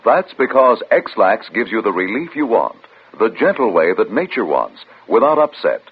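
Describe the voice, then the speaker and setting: Male commercial announcer, vintage radio, persuasive, lively intonation, rough-edged voice, tinny. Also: fairly low pitch, normal speed. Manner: reassuring, persuasive delivery. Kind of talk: vintage radio advertisement, scripted. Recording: tinny old radio broadcast recording.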